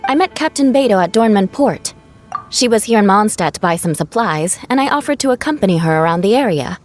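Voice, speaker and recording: Actress doing a formal lady knight in a video game, bit awkward, precise, lively pitch movement, music underneath. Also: a little fast, lightly acted. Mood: reserved, formal. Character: formal, proud but awkward young female knight.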